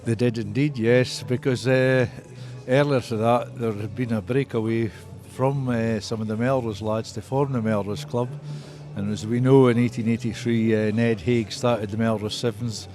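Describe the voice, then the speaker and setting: Older male guest, Scottish Borders accent, club historian recalling the past in an interview, mid-pitched voice over faint music. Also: steady tone, moderate pace, a little mellow.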